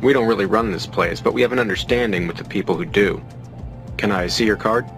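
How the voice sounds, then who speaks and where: A man's voice: on the low side, fast talker, a bit bright, faint music behind. An actor playing a friendly, salesman-like male trade contact in a video game cutscene. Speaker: game character.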